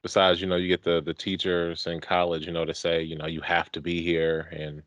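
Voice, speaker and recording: A man with a very deep voice talks casually as a podcast co-host. His delivery is conversational and enthusiastic, recorded close and very dry, with little room sound. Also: completely flat delivery, brisk, a bit bright, no room sound, muffled recording. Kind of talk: casual chat.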